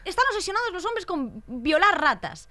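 A young woman with a Spanish accent from Spain, co-hosting a casual podcast chat with lively talk and frequent agreement. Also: very high voice, normal speed, no room sound. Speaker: podcast co-host. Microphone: desk mic on stand.

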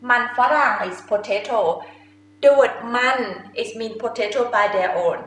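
Young female Thai language teacher, Thai-accented, higher voice, informal and instructional, recorded in an echoey room. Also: fast talker, fairly bright tone. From Thailand. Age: young adult. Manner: informal, simple explanations with checking questions. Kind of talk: instructional lesson to camera. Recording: echoey room with faint noise.

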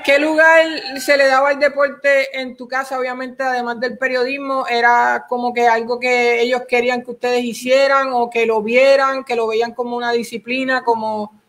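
A man's voice: very high voice, little pitch movement, normal speed, hardly any pauses, a bit bright. A podcast host interviewing a guest in a casual, playful way, mixing English phrases into his Spanish.

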